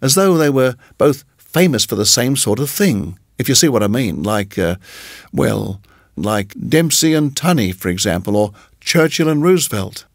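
A polite young lodger acted by a male narrator in a storybook reading. He muses earnestly and hesitates, with a mid-pitched, animated voice. The sound is close and dry. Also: moderate pace, no room sound. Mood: earnest, searching. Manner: musing, hesitant, courteous. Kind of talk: audiobook character voice.

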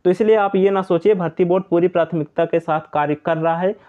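A man's voice: sits high, steady tone, fast talker. A man giving an informal, repetitive, reassuring explainer to viewers as an exam news presenter. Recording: tinny, phone-like narrow band.

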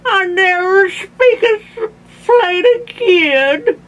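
A woman's voice: very high voice, big pitch swings, very slow, a bit bright, highs cut off, voice pushed up, heavily acted. A woman voicing a distraught, indignant old grandpa in a storybook, melodramatic and wailing. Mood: tearful, indignant.

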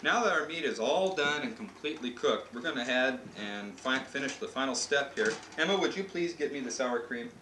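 A man's voice: medium pitch, animated, fairly quick, hardly any pauses. American man hosting an echoey home cooking demo. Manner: playfully presenting, explaining step by step. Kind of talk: casual cooking demonstration. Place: home kitchen, indoors. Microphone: camcorder mic a few feet away. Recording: noisy, echoey home camcorder audio.

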